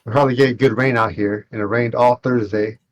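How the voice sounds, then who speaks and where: Laid-back man chatting on a podcast. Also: mid-range voice. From Northern California. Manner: relaxed, halting, many fillers. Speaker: podcast co-host, executive producer. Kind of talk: casual podcast chat.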